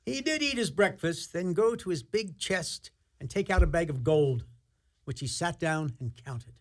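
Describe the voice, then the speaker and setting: A man's voice: fairly high pitch, expressive, normal speed. A man narrating a scripted radio play as storyteller, in a theatrical and wry manner.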